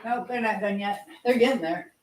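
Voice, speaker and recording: A woman's voice: medium pitch, moderate pace, slight background noise. Rambling, chatty woman livestreamer. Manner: rambling, chatty, hops between topics and viewers. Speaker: livestream host. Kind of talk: casual live chat, answering viewer comments.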